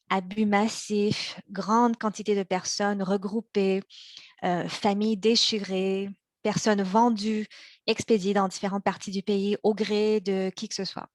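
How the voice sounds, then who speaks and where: A young woman born in China and raised in Montreal, giving a prepared practice speech in a measured way, slowing on key words, with small restarts. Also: mid-range voice, no echo. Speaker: practice speaker for interpreters.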